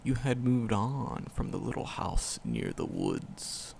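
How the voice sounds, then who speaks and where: A man playing an ancient, tender and sorrowful forest demon in an ASMR roleplay, with a gravelly voice and solemn, archaic storytelling. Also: mid-range voice, wildly swooping pitch, takes it slowly, a little mellow, a little hiss or hum, full character voice. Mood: sorrowful, regretful. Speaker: voice actor in audio roleplay.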